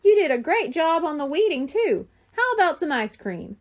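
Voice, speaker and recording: A woman's voice: high voice, sing-song, normal speed, darkish tone, voice pushed up. A woman reading aloud while voicing a kind, proud father in a children's storybook.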